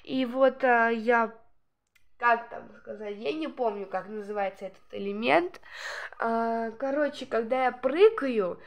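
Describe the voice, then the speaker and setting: Chatty woman with a gravelly, sniffly voice. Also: fairly high pitch, unhurried. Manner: chatty, rambling, many fillers. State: slightly hoarse from a cold. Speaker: gameplay channel host. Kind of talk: casual gameplay commentary.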